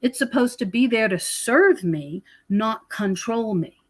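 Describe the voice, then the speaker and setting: An American woman with a somewhat high voice talks as a guest in a podcast discussion. She explains her views conversationally and leans on key words for emphasis. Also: lively intonation, unhurried, slightly rough. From United States. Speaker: podcast guest, researcher of prophecy and UFOs.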